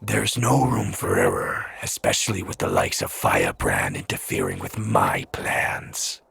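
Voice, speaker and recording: An imperious, cruel demon master from an audio drama. The voice is sharp-edged and heavily fried, hissing and growling, and it swings widely in pitch as it threatens. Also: male voice, wildly swooping pitch, exaggerated voice. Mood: brooding menace. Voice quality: gravelly growl with hissing breath. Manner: slow, formal, menacing. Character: demonic spectral overlord, menacing, cold, cruel and imperious master. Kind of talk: audio fiction villain acting.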